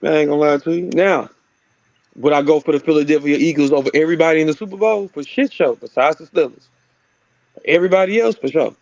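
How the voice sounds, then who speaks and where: A man joining an online call as a guest, loud and taunting with profane trash talk. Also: on the high side, fast talker, vocal fry, no echo. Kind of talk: casual chat. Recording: dull, dry lo-fi call audio.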